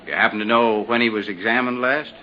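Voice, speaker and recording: An actor playing a formal coroner who questions a witness and reads a verdict in a 1950s radio drama. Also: male voice, mid-range voice, steady tone, fast talker, close to an everyday voice. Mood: probing. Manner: formal, official. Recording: dull lo-fi old radio with faint noise.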